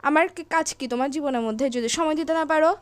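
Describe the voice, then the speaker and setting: A woman's voice: sits high, fast, no room sound, close to an everyday voice. A young Bangladeshi-accented woman playing an angry, suspicious girlfriend in a comedy phone skit, scolding and repeating her questions insistently. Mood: annoyed, suspicious.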